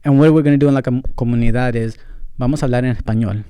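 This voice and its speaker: A young adult man with a mellow, warm voice speaks into a close mic in a dry, dead-sounding space. He talks candidly and off the cuff, mixing English with Central American–accented Spanish, with fillers here and there. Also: mid-range voice, fairly even intonation, fast talker, clean tone, dry recording. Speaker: podcast host. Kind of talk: casual solo podcast monologue.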